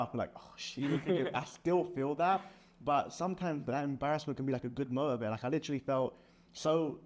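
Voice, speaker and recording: Young guy hyping up taking action, mid-pitch, loose chatty vibe, says "like" a ton. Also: a little mellow. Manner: motivational, casual, says "like" and "man" often. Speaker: sales partner. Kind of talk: casual business chat.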